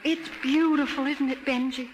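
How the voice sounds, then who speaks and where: A woman playing a gentle, earnest young country woman, a dutiful daughter, in a 1930s radio drama. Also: sits high, fairly even intonation, normal speed, close to an everyday voice. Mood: soft, romantic. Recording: hissy, reverberant 1930s radio recording.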